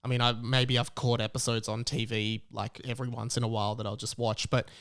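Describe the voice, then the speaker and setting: A man in his late twenties with an Australian accent, hosting a casual solo podcast in halting phrases, stretching out key words for emphasis. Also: mid-range voice, flat delivery, fairly bright tone, clean tone, dry recording, clean studio sound. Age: late 20s. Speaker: podcast host.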